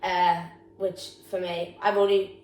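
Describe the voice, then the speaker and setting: A woman's voice: fairly low pitch, level tone, slow pace, a little mellow. A young woman with a Geordie accent, chatting frankly and casually as a podcast co-host, a drama student. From North East England. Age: young adult. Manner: chatty, frank, swears freely. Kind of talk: casual chat. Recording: echoey room, mic far off, faint noise.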